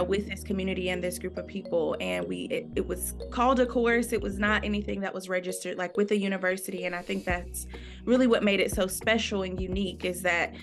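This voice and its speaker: Woman author from North Carolina, mid pitch, earnest, filler-heavy, video-call sound with music behind. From North Carolina, USA. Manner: earnest, with many fillers like "um" and "you know". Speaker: children's book author, guest. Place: room with a window and plants.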